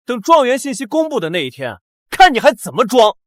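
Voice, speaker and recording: A young actor playing a spoiled, sneering teenage son, petulant and accusing, in a melodramatic drama. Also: male voice, very high voice, big pitch swings, a little fast, dry recording, studio quality. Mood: scornful. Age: young adult. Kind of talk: melodramatic short-drama acting.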